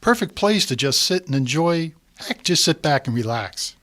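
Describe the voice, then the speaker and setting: A man's voice: on the high side, expressive, normal speed, fairly bright tone. A man acting in community radio theatre as a jovial, food-loving fellow on an outing, chatty and a little showy. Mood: cheerful.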